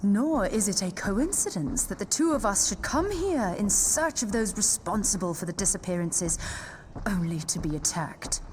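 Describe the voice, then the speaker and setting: A woman playing a calm, courteous, mysterious investigator in a fantasy game, speaking in formal archaic, pensive phrases. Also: medium pitch, moderate pace, slight background noise, lightly acted. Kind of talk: fantasy game cutscene acting. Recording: music under the voice.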